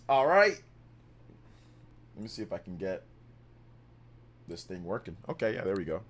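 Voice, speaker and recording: Lively-voiced man in his fifties. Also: low voice. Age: 50s. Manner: rambling, self-correcting, laughs often. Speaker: livestream host. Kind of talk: casual gaming livestream chat.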